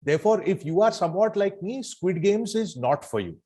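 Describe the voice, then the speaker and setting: A man's voice: high voice, expressive, fairly quick, dark tone, breathy edge. A man with an Indian English accent co-hosts a casual review podcast, chatting in an emphatic way. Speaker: podcast co-host.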